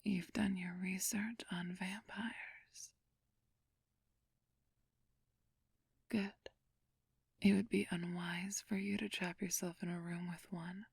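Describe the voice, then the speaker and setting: A woman playing a flirtatious, haughty ancient vampire thief in a whispered ASMR roleplay, teasing and condescending with a drawn-out purr. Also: lowish voice, dry recording, studio quality, exaggerated voice. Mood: predatory, hungry. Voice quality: breathy whisper.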